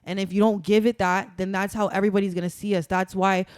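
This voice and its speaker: Young woman, podcast guest, smooth clear tone, dry close sound, emphatic and chatty. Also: mid-range voice, little pitch movement, quick pace, a little mellow, no echo. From Toronto, Canada. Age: young adult. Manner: chatty and emphatic, many "like" fillers. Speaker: guest, carnival masquerader. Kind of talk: casual podcast conversation.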